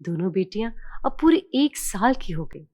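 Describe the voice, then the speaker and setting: Woman, storyteller, lively swooping intonation, dry close sound, scripted children's narration. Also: medium pitch, normal speed, no echo, lo-fi sound.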